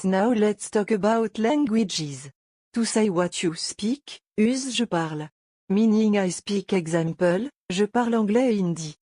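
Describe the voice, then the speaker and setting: Warm, mellow-voiced woman teaching. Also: mid-range voice, dry recording, studio quality. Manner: patient, step-by-step explaining. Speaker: language teacher. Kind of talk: scripted beginner lesson.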